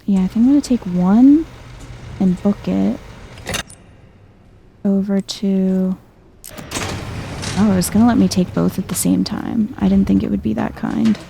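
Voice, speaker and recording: A soft-spoken woman giving chill, low-key ASMR gameplay commentary, murmuring to herself and sometimes slipping toward a whisper. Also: a little slow, creaky, pauses often, background noise. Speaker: gaming video host.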